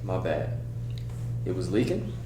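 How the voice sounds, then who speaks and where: A man's voice: sits low, sing-song, measured pace, mellow. A man playing a defensive, sullen young adult son who tends to mutter, in a screenplay table read. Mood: sheepish, defensive. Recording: echoey room, distant mic, faint hum.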